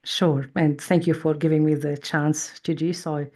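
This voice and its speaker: A woman in her forties, a psychologist guest speaking in a measured, thoughtful way in an interview. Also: sits low, level tone, darkish tone. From Lebanon. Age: 40s. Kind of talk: interview conversation.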